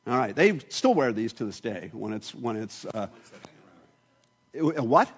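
A man preaching a sermon in a church, joking and asking the congregation questions in a lively way. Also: medium pitch, measured pace, breathy edge.

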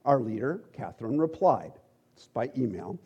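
A middle-aged man teaching at a church, relaxed and joking, with halting asides. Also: mid-range voice, creaky, breathy edge, muffled recording. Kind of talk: conversational sermon.

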